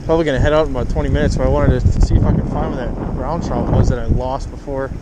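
A man's voice: medium pitch, fairly even intonation, dull audio. A young man vlogging while fishing on a pier, talking casually and getting worked up when fish strike and miss, recorded on a head-mounted camera outdoors. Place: outdoors on a windy lakeside pier. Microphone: head-mounted action camera. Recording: wind noise outdoors. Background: wind and rushing water.

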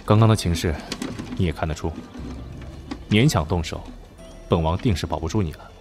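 A man playing a scheming, world-weary young prince in a Chinese period audio drama, speaking in a theatrical, drawn-out way with sighs. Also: sits low, over music. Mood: sorrowful, earnest. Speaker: lead voice actor in an audio drama. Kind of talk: period audio drama acting with inner monologue.

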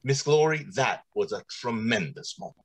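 A man's voice: mid-range voice, lively intonation, normal speed, breathy edge. A middle-aged man playing a pompous, courteous factory general manager in old-time radio drama style, theatrical and businesslike. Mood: enthusiastic storytelling. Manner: theatrical, businesslike, hesitating with ums.

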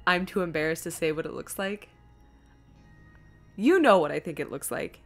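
A woman giving casual live game stream commentary, chatty and thinking out loud as she reads things aloud. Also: on the low side, animated, normal speed, soft background music.

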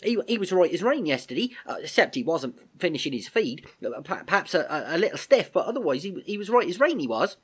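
A man's voice: on the high side, big pitch swings, fast, few pauses, husky, pitched above the performer's own voice. A man voicing a frightened young soldier in a storybook, pleading and stammering deferentially with a tremor in his voice. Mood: anxious, bewildered.

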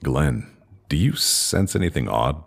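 A man playing a knowing, commanding space explorer in a sci-fi audio drama, terse and deliberate. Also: sits low, wildly swooping pitch, gravelly, exaggerated voice. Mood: grave, inquiring.

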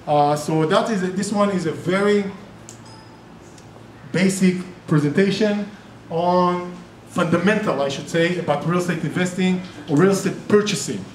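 A man's voice: sits high, normal speed. A middle-aged man with an Israeli accent giving a live seminar talk, chatty, with restarts and joking asides. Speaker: real estate investing presenter and group organizer. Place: meeting room with projector. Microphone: far camera. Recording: roomy echo, distant camera, faint noise.

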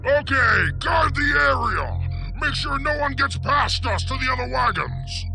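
An actor voicing a rowdy, dim-witted creature outlaw in a western video game, with a gravelly, blustering delivery. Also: male voice, very high voice, expressive, a little slow, full character voice. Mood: barking orders. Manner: blustering, cartoonish. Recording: music behind the voice.

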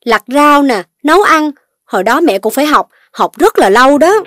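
A woman playing a gentle, patient, amused mother in a children's cartoon. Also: high-pitched, expressive, moderate pace, dry recording, clean studio sound. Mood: gentle, teaching. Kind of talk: children's animated cartoon voice acting. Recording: music under the voice.

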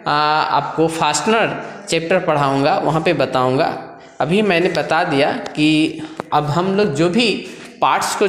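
A man with a fairly high voice reads through a trade lesson line by line, stopping to explain the points. The recording has a roomy echo and a long tail of reverb. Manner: explains step by step, reading from a page. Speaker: trade instructor. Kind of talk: reading out a textbook lesson. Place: voice-over over scanned book pages. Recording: echoey room with long reverb.

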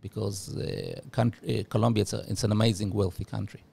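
Gravelly guy in his 40s, uruguay-born, stop-start podcast talk, studio mic. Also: on the low side, steady tone, mellow. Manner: halting, many restarts and fillers. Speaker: guest, former diplomat. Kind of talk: podcast interview. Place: studio office room. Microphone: studio mic with headphones.

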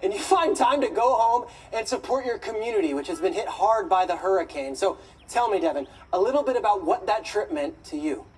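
A man's voice: sits high, animated, a little fast, hardly any pauses, darkish tone, slightly rough, distant mic, poor audio. A man playing a polished, upbeat football show interviewer in a sports game.

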